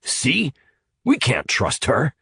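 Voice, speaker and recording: A man's voice: mid-range voice, huge pitch swings, slow pace, creaky, fairly bright tone, husky, dry recording, clean studio sound, pitched above the performer's own voice, full character voice. A man voicing a big, suspicious, confrontational teenage boy in an adventure audiobook, blunt and scoffing. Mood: hostile, scoffing.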